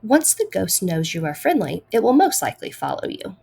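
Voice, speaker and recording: A woman reading a picture book aloud to children in a deliberate, expressive storytime style. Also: mid-range voice, creaky, slightly rough.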